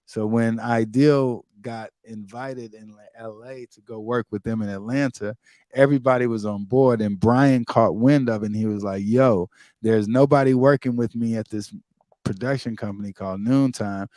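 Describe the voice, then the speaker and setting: A man from the Bahamas, a music producer and DJ, telling stories in a relaxed, casual interview and acting out the voices he quotes. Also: mid-range voice, moderate pace, no room sound, clean studio sound. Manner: relaxed storyteller, acts out quoted voices, drops to quiet asides.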